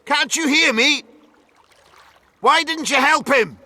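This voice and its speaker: A man's voice: very high voice, slow pace, sharp tone, heavily acted. A man voicing an indignant, coarse river-port townsman in a video game, blunt and scolding.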